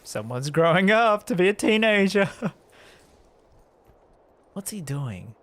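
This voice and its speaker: A man's voice: sits high, sing-song, takes it slowly, a bit bright. A man giving casual, chatty game commentary on a live stream, rambling and easily amused. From Australia. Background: game music.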